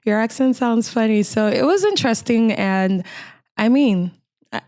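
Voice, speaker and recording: Cameroonian woman with a smooth, clear voice. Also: fairly high pitch, normal speed, a little mellow, no room sound, clean studio sound. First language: French. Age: 30s. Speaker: podcast guest. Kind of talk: casual interview conversation.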